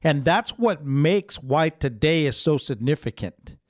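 A man's voice: on the high side, expressive, unhurried, darkish tone, no echo, clean studio sound. A man who was once a minister, talking informally about scripture and speaking straight to his listeners as folks. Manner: conversational, addresses folks directly, frequent restarts. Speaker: former minister, host. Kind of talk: informal teaching talk.

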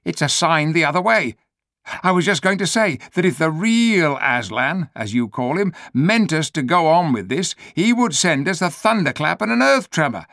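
A man's voice: on the high side, sing-song, normal speed, vocal fry, a bit bright, no echo, clean studio sound, voice pushed up, exaggerated voice. A man voicing a crafty, bossy male ape who whines and coaxes in a storybook reading. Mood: insistent. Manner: whiny, persuasive, self-pitying. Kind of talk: storybook read-aloud.

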